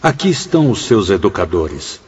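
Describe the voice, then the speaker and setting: An actor with a Brazilian accent playing a solemn, reverent spirit mentor in a radio drama, with measured, declamatory delivery. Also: male voice, low-pitched, very animated, breathy edge, heavily acted. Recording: dull radio drama mix under loud music. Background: music.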